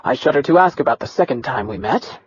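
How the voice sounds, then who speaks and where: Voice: mid-range voice, lively intonation, fast talker, husky, no room sound, lo-fi sound, voice pushed down. A narrator voicing a middle-aged emperor who speaks in a shaken, apologetic way. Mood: remorseful.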